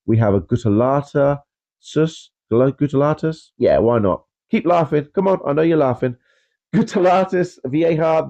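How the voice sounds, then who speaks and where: A man's voice: mid-range voice, dark tone, muffled recording. Stuffy-nosed man chatting about fish. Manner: rambling, filler-heavy, self-deprecating about pronunciation. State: congested with a cold. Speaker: aquarium channel host. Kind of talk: casual chatty video talk.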